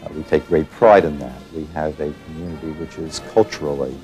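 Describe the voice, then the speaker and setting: Brief: middle-aged man, extremely deep and dark voice, halting interview speech, faint music under. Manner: halting, many filler pauses.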